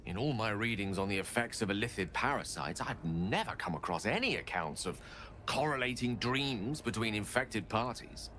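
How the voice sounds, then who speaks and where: A man's voice: fairly low pitch, animated, moderate pace, sharp tone, a little hiss or hum. A man playing a curious, courteous scholarly wizard in a video game, articulate, bookish and musing. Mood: intrigued, reasoning.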